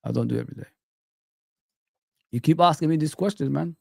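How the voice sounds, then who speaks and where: Young male streamer, gravelly, dry close mic, laid-back rambling chat. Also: fairly high pitch, fairly quick, no echo. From Somalia. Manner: relaxed, rambling, calls viewers bro. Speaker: live stream host. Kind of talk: casual live chat reading comments.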